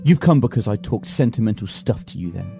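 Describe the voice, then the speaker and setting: A man playing a bitter, self-loathing poor man who swings from shouted rants to low, brooding talk. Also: very animated, normal speed, over music, lightly acted. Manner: melodramatic, lurching from shouted rants to low narration. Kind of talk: comic amateur drama reading.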